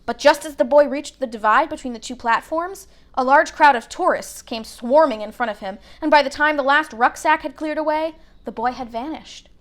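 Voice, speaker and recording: A woman narrating a children's novel aloud in an expressive storybook style. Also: high-pitched, normal speed, hardly any pauses.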